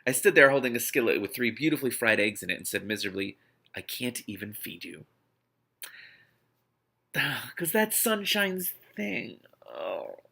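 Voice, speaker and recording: A man's voice: medium pitch, sing-song, moderate pace, gravelly, pushed and bright. A man reading a novel aloud expressively, breaking off into spontaneous amused and exasperated asides as host. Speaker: host of an online read-aloud series.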